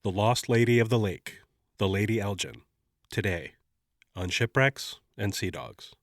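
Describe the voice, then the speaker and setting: A man hosting a podcast, sounding slightly nasal and congested while getting over an illness, narrating a scripted story in a measured way. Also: fairly low pitch, lively intonation, no room sound, studio quality. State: congested, recovering from covid. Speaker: podcast host.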